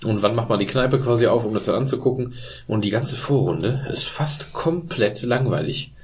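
A man's voice: lowish voice, lively intonation, normal speed, a little hiss or hum. A man chatting casually with friends about football, rambling and restarting his sentences, often tagging them with a questioning "ne?".

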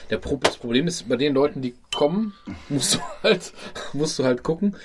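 A man's voice: fairly high pitch, animated, moderate pace, slight background noise. A man talking casually in a group podcast discussion, rambling, with frequent restarts.

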